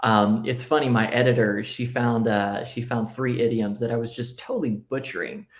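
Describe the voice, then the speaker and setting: A man's voice: mid-range voice, normal speed. A man whose first language was Spanish, now speaking with an American accent, talking earnestly as a guest author and often checking in with "right?". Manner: earnest, reflective, with fillers like "right?" and "you know". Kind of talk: casual interview talk.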